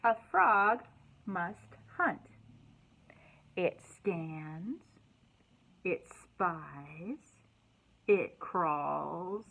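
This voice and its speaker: A woman hosting a children's storytime, reading aloud and chatting in an animated, encouraging way with simple words for young listeners. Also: fairly low pitch, extremely slow, vocal fry, long pauses, narrow, tinny audio. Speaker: children's librarian and storytime host.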